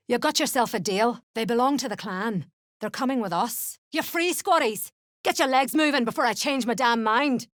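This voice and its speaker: An actress playing a tough, foul-mouthed duergar mercenary in a fantasy game, blunt and menacing in manner. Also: female voice, on the high side, fairly bright tone, no room sound, clean studio sound. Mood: sharp, threatening. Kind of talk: fantasy game character acting.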